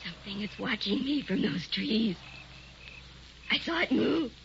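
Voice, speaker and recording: An actress playing an anxious, desperate young wife who talks fearfully, in 1940s radio acting style. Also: female voice, on the high side, lively intonation, unhurried, gravelly. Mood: terrified. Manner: breathless, fearful, pleading. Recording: dull old radio recording with loud hiss.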